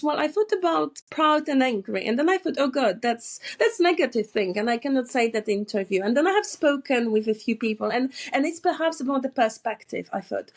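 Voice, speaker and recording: A woman in her thirties with a Polish accent, answering interview questions as a psychotherapist, thoughtful and prone to restarts. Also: high voice. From Poland. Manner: thoughtful, with frequent restarts and fillers. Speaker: psychotherapist guest.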